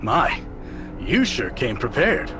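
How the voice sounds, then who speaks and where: An actor playing a shrewd, cautious fortune-telling master thief in a game cutscene, deliberate and wry. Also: male voice, mid-range voice, sing-song, over music. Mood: wry, solemn. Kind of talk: dramatic game cutscene acting.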